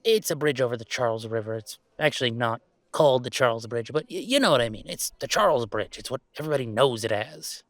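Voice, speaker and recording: A man playing a laid-back, self-deprecating young gamer in a roleplay audio drama, chatting in a halting, playfully exasperated way. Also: mid-range voice, expressive, no room sound, natural voice. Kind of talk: roleplay audio drama, casual one-sided conversation.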